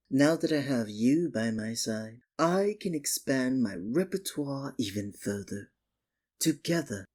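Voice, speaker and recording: A performer plays an obsessive male stage magician, a possessive captor in an ASMR drama. The voice is breathy and unhurried, swinging widely in pitch. The delivery is theatrical and coaxing, sugary with endearments yet full of menace. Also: darkish tone, exaggerated voice. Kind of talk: ASMR roleplay, theatrical villain monologue.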